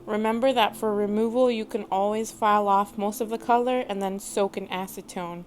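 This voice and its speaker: A woman hosting a nail tutorial, giving calm step-by-step instructions in a matter-of-fact voice-over. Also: mid-range voice, normal speed, a little hiss or hum.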